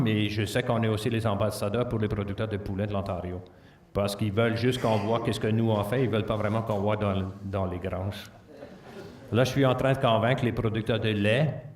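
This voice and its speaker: A farmer, a man whose first language is not French, answering audience questions in a plain, halting way. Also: lowish voice, a little hiss or hum. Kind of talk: Q&A at a conference. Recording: echoey hall, distant mic.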